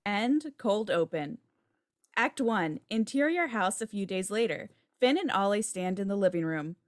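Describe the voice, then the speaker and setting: Woman narrating a script's stage directions, mid pitch, close dry audio. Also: animated, measured pace, dry recording. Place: home room, video call.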